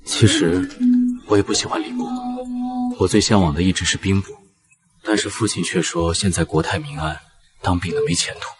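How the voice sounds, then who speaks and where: A young man playing an earnest, easily flustered noble heir, hesitant and insecure, in an animated costume drama. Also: low voice, moderate pace, pauses often, soft background music. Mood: dejected, confiding.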